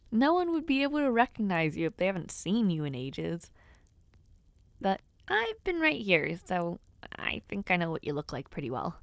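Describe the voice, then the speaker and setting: A woman playing a teasing, bashful young noblewoman in an intimate audio roleplay, speaking playfully with hesitant pauses. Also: mid-range voice, dry recording. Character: teasing, bashful young noblewoman before her debut ceremony. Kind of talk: intimate audio roleplay, one-sided conversation.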